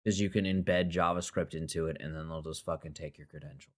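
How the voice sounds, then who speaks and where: A man's voice: very deep voice, fairly even intonation, fairly quick. A young man hosting a podcast, chatting casually, joking and swearing freely as he rambles. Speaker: podcast host. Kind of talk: casual chat.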